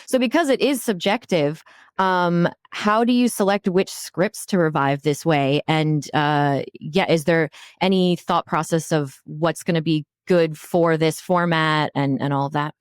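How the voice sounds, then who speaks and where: A woman's voice: deep voice, unhurried, smooth, dry recording, clean studio sound. A woman hosting an interview podcast in a casual, informal way, thinking aloud as she talks.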